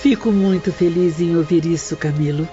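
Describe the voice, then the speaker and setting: An actress playing a kindly, serene female spirit guide who teaches gently and formally in an audio drama. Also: on the low side, moderate pace, lightly acted. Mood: gentle, guiding. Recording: dull audio with faint music.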